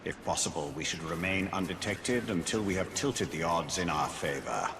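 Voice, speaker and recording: An ancient elven wraith lord from a fantasy game. The voice is extremely low with a gravelly edge, solemn and measured. He speaks formally and with command, over noisy ambience. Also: animated. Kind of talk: video game cutscene acting.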